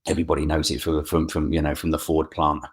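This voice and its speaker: Low-voiced hesitant Essex man. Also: little pitch movement, fast, breathy edge. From England. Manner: hesitant, frequent word repeats and restarts. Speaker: guest, freelance photographer. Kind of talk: casual interview chat. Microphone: remote interview recording.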